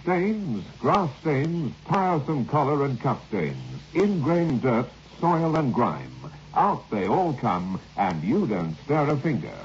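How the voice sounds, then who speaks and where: A man's voice: mid-range voice, big pitch swings, slow pace, hardly any pauses. A man reading a vintage radio advertisement as an announcer, emphatic and clipped in his selling. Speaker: commercial announcer. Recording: dull, noisy old radio recording.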